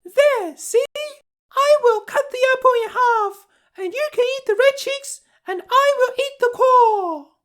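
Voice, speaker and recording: A man voicing a sly, wicked old queen disguised as an old woman in a storybook, with a shrill theatrical falsetto. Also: a little slow, fairly bright tone. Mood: wheedling, sly. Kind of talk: storybook character voice.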